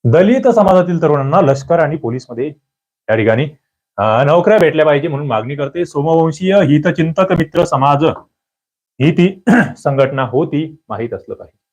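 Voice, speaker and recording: A man teaching an exam prep lesson, quizzing his listeners with lively questions, then answering them himself. He often clears his throat. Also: a little high, moderate pace, muffled recording. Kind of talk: quiz-style exam prep lecture.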